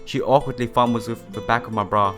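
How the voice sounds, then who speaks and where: A man's voice: medium pitch, fairly even intonation, fairly quick, over music. A man reading a visual novel aloud in a theatrical way, breaking off into casual asides and exclamations. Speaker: let's player.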